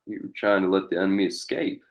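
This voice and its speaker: Man reading low-voiced menacing western outlaw boss. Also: fast talker. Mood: taunting, cold. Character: Mitch, menacing outlaw gang leader and former cavalry captain. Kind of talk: western table read.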